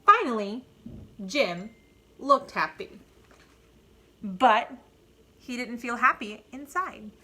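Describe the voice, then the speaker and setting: Very bright, expressive woman reading to children. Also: on the high side, slightly rough. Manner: deliberate emphasis, asides to young listeners. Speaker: teacher reading aloud to children. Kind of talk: expressive picture-book read-aloud.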